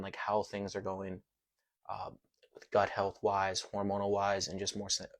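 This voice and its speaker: A low-pitched American man talks loosely on a live video call, circling back and repeating his phrases as he hosts. His voice stays in a narrow, level band. The sound is that of a computer mic. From United States. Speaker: podcast host. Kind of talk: casual live-stream chat.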